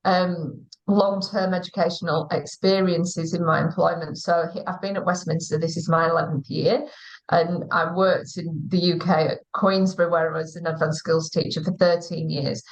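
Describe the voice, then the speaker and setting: A woman in her forties with an English accent, speaking in a fairly low voice on a video call. She tells her story at a measured, unhurried pace, pausing often with "um". She sounds like a guest on a livestream interview. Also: fairly even intonation, rough recording. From England. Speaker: guest, school director of teaching.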